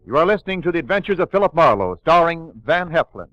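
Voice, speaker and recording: A slightly high-voiced male announcer with a lively, swinging delivery reads the show's credits in brisk 1940s style. Music plays clearly behind him, and the recording sounds dull and muffled. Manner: brisk, upbeat salesmanship. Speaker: radio announcer. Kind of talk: 1940s radio announcing with sponsor ad.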